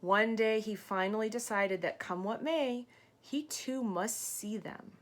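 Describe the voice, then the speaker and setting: A woman teacher reading a picture book aloud expressively, pausing to ask young listeners questions and explain things. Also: mid-range voice.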